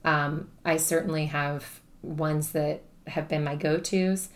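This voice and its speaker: Guest, woman, low projecting voice, relaxed chatty delivery with fillers. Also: takes it slowly. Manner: chatty, thinks aloud with many fillers. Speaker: guest, spiritual teacher. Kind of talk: casual conversation.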